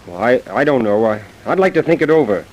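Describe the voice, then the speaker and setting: A man's voice: medium pitch, lively intonation, a little fast, darkish tone, muffled recording, lightly acted. An actor playing a hesitant, gullible young salesman, doubtful and prone to stammer, in old-time radio drama style. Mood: doubtful, wavering. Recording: vintage radio broadcast recording.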